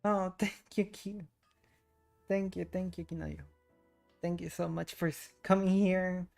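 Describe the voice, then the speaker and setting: A woman's voice: fairly low pitch, fairly even intonation, a little slow, creaky, darkish tone. A woman livestreamer chatting informally and self-deprecatingly with viewers while she plays a game. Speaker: livestreamer, VTuber. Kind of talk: casual chat with viewers while gaming. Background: game audio and music.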